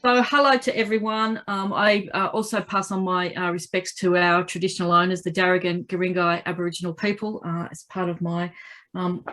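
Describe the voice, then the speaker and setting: Croaky, lower-voiced Australian woman. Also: level tone, a little fast, fairly bright tone. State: croaky with a cold. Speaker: local councillor. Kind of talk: informal spoken update at a community video meeting. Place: video call. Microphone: video call.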